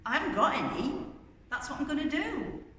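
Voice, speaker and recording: A woman's voice: sits high, very animated, a little fast, a bit bright, pitched above the performer's own voice. A woman voicing a busy, impatient male fishing boat skipper in a children's story, recorded far from the mic in an echoey hall with faint noise. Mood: brusque. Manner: brusque and impatient.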